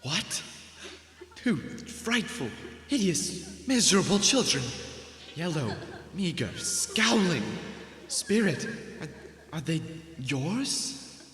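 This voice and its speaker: A man playing an anguished, frightened old miser, halting and pleading in a radio drama. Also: a little high, sing-song, a bit bright, exaggerated voice. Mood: horrified. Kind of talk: school radio drama acting. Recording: echoey hall, slight noise.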